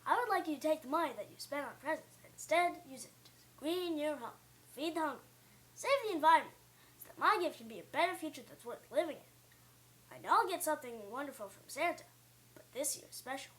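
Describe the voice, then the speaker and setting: Woman as a high, quick, earnest child. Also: expressive, fairly bright tone, poor audio. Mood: earnest, hopeful. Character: earnest, selfless young child reciting a Christmas wish. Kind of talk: scripted recitation.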